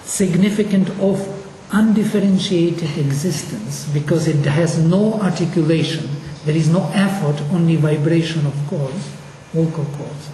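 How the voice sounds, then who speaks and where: Brief: Russian-accented linguistics lecturer, mellow tone, slow and deliberate delivery, echoey hall with faint room hum. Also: medium pitch. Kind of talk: course lecture. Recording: echoey hall, mic at a distance.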